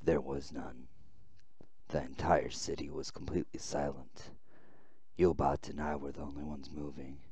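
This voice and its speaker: A man voicing a weary, fearful young man who narrates his ordeal, reading visual novel text with halting, dramatic phrasing. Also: extremely low pitch, level tone, a little mellow, breathy edge, no room sound, pitched below the performer's own voice, exaggerated voice. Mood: uneasy, hushed.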